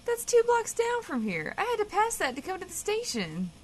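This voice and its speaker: A woman's voice: very high-pitched, wildly swooping pitch, moderate pace, slight background noise, heavily acted. A woman playing an exasperated, sceptical radio station worker making incredulous remarks in a comedy. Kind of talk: comedic radio-play interjections.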